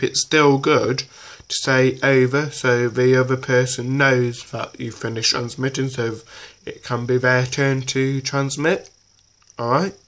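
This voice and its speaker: A man giving an informal hands-on tutorial in a halting, repetitive way, often checking in as he goes. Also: medium pitch, fairly bright tone. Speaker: presenter of a tutorial. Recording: noisy homemade recording.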